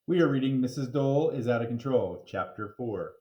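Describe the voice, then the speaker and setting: A man's voice: mid-range voice, animated, a little fast, dark tone, echoey room, muffled recording. A man reading a children's storybook aloud in a playful, dramatic manner with chatty asides.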